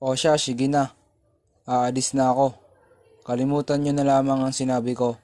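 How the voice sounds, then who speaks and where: A man's voice: medium pitch, little pitch movement, normal speed, warm tone, no echo, clean studio sound, lightly acted. A man playing a grave old islander who gives cautious warnings in an amateur radio drama. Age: older.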